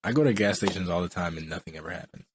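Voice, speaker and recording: A man's voice: deep voice, very fast, a little hiss or hum. A young man with a Philadelphia accent, sick, hosting a podcast in casual joking chat that is full of laughs. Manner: joking, laughs often, rambling. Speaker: podcast host.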